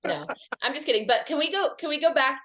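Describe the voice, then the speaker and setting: A woman's voice: high-pitched, expressive, fast, a crowd in the background. A woman hosting a casual interview, upbeat and playfully teasing her guest. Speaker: interview host.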